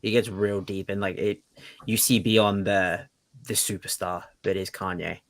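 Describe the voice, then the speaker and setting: A man with a fairly low voice chats casually on a podcast panel. He sounds like an ordinary participant in an informal conversation. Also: fairly even intonation, measured pace. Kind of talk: casual chat.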